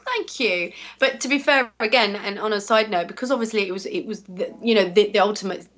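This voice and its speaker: A woman's voice: mid-range voice, a little fast, fairly bright tone. Chatty English woman, rambling. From England. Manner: rambling, many fillers and restarts. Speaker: voice actress, interview guest. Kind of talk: casual interview chat.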